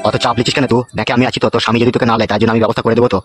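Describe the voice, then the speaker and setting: Cartoon villain for a man to play: swaggering male local goon, fast delivery, leering and threatening. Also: mid-range voice, little pitch movement, faint music behind, lightly acted. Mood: impatient. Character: lecherous, menacing male neighbourhood thug, boastful. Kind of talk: comedy cartoon villain dialogue.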